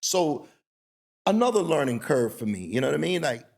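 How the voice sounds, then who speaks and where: Gravelly, husky-voiced man hosting a podcast. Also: fairly high pitch, very animated, normal speed. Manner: loose, storytelling, lots of "you know what I mean". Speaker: podcast host. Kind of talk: casual conversational interview.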